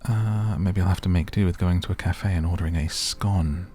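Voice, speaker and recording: A man giving relaxed ASMR gameplay commentary, musing gently and whimsically to the listener. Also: low voice, normal speed, breathy edge, soft background music. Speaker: gaming video host.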